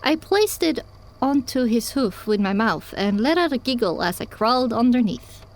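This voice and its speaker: A woman's voice: on the high side, animated, moderate pace. A woman reading scripted first-person story narration as the narrator of an audio drama.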